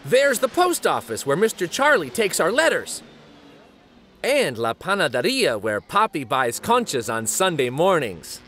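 Male children's read-aloud host, bright voice, unhurried and dramatic with wide expressive swings. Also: fairly high pitch, slight background noise. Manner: animated, dramatic. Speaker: children's storybook reader and show host.

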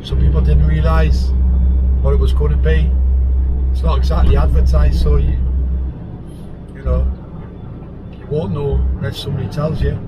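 Hoarse truck driver vlogging from the cab, pitched a touch high, chatty and rambling; loud engine and road noise throughout. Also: male voice, little pitch movement, moderate pace, pauses often, highs cut off. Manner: chatty, rambling, self-deprecating. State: hoarse, lost voice from a cough. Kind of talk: casual driving vlog. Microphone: dashcam-style camera in the cab.

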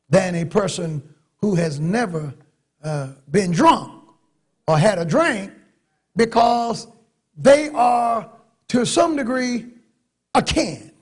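Middle-aged southern preacher guy, kinda high voice, slow with big pauses, swells up on key words. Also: husky. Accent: Southern American. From North Carolina, USA. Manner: preaching with long dramatic pauses and swelling emphasis. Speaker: pastor. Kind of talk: sermon. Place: large church sanctuary, at a pulpit. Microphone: pulpit microphone.

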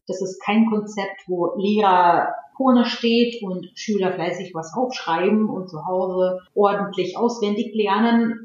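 A woman from Russia, a native Russian speaker, calmly answering interview questions. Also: mid-range voice. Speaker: guest. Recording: very echoey, dull sound.

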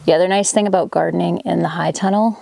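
A woman's voice: lowish voice, fairly even intonation, fast talker, creaky, dark tone, a little hiss or hum. A woman in her thirties chatting casually as she explains her gardening and beekeeping while she works, recorded close on a handheld camera in a greenhouse and a farmyard. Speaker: farm vlogger. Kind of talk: casual how-to vlog narration. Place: plastic greenhouse and outdoor farmyard.

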